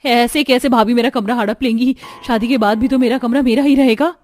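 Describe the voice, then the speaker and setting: Possessive young sister-in-law in animated family story female actor: high, snappy, defensive and irritable, music underneath. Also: fairly quick, natural voice. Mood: annoyed. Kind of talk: Hindi family cartoon dialogue.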